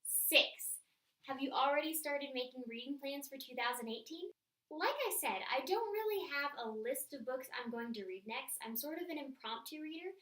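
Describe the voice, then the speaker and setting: A woman with a high-pitched voice hosts a book vlog, chatting to her viewers in an explanatory way. She sounds set back from the microphone in a roomy, echoing space. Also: fairly bright tone. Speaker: book vlogger hosting her channel. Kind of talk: scripted-sounding book tag chat to camera. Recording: roomy echo, distant microphone.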